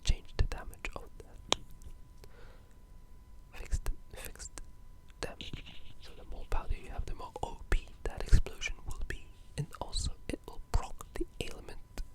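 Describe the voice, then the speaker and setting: The voice is a pure breathy whisper with no tone behind it. The delivery is an informal ASMR ramble, halting and loosely strung together, like a gamer chatting quietly. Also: low-quality recording. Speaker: video game ASMR creator.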